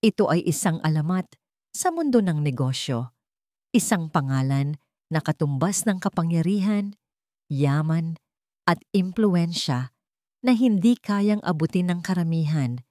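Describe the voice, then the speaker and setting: A female storyteller with a low-pitched voice narrates a scripted romance drama. Her delivery is theatrical storytelling, recorded very dry with no room sound. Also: darkish tone, no room sound.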